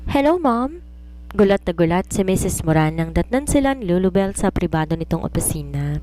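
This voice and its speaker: A woman narrates a romance novel in a lower-pitched voice. It is scripted storytelling, read aloud as a narrator would. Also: brisk, mellow.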